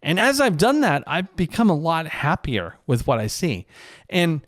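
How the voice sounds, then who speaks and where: A man's voice: on the high side, huge pitch swings, moderate pace, no echo, clean studio sound. A man talking casually and reflectively on his own, in a conversational, rambling, self-deprecating way, like a vlogger. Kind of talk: casual reflective solo commentary.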